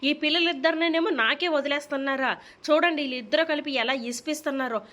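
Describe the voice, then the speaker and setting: A woman's voice: high voice, fast, pushed and bright. A woman voicing an exasperated, nagging young mother in a comedy cartoon, with a Telugu accent and sarcastic, threatening scolding. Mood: angry complaining.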